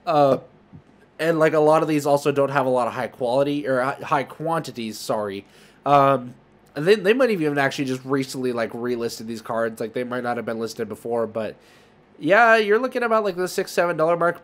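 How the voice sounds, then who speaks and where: A man hosting a trading card market video, chatting informally to viewers with emphasis on key words. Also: on the high side. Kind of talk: casual commentary to viewers.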